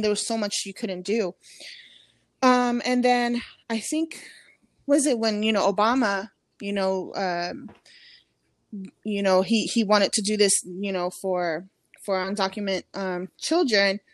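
A woman's voice: mid-range voice, no echo. A woman in her thirties, from Ecuador, talking casually as an interview guest, with lots of fillers and restarts. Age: 30s. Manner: chatty, many fillers and restarts. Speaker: guest, comedian.

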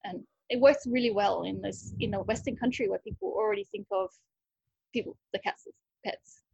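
Husky-voiced woman chatting casually. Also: on the high side, very animated, moderate pace, darkish tone, no echo, highs cut off. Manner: chatty, thinks aloud with many likes and restarts. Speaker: rescue cat cafe owner. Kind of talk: casual interview answers.

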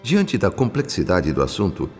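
Solemn Brazilian male narrator, deep, reverberant. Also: huge pitch swings, a little mellow. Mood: solemn, reflective. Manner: solemn, formal, measured. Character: solemn male spirit narrator recounting a spiritual journey. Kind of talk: scripted radio drama narration. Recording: long reverb, music underneath.

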